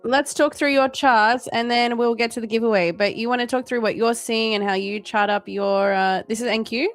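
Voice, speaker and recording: A woman's voice: fairly high pitch, steady tone, normal speed, dry recording, faint music behind. A woman co-hosting a livestream in an informal, bantering chat. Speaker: livestream co-host.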